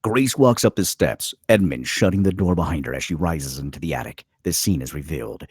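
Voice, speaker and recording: Deep, husky, expressive male narrator. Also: no echo, clean studio sound. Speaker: narrator reading stage directions. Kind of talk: scripted dramatic narration.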